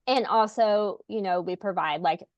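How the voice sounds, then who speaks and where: A woman's voice: mid-range voice, normal speed, dull audio. A woman hosting a podcast, talking in an earnest, rambling way with many fillers. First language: English. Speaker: podcast host, parent. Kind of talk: casual podcast conversation.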